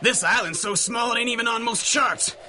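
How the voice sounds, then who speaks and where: High, barking gangster boss. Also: male voice, a little fast, sharp tone, slight background noise, exaggerated voice. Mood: bossy, irritated. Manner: barking, impatient, slangy tough talk. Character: gruff, bossy 1930s rum-running gang boss. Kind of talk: 1930s-style radio drama acting.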